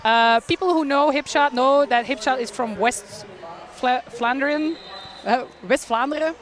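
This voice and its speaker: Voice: sits high, unhurried, fairly bright tone. Roller derby commentator. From Belgium. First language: Dutch. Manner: chatty, self-deprecating, laughs often. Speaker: play-by-play commentator. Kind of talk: live sports commentary.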